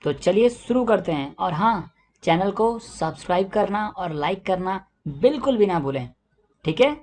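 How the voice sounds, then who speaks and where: A woman gives a scripted introduction as a channel presenter. Her voice sits at an average pitch for a woman. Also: lively intonation, unhurried, a little mellow.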